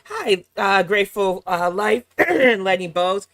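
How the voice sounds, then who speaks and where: Sassy slightly hoarse woman streamer. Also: mid-range voice, very animated, a little slow, fairly bright tone, no echo. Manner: sassy, mocking asides. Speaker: reaction channel host. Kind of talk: casual live-stream chat.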